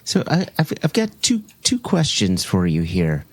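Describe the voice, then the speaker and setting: A man's voice: lowish voice, wildly swooping pitch, unhurried, dry recording. A man hosting a podcast, asking questions in a casual interview style. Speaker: podcast host.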